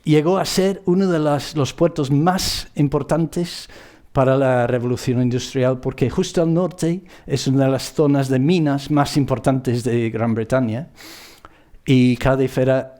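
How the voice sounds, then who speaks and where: A man from the Bristol area of England with a British accent, a native English speaker, gives a public presentation in a halting way, often repeating himself as he searches for words. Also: medium pitch, mellow. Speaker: conference speaker.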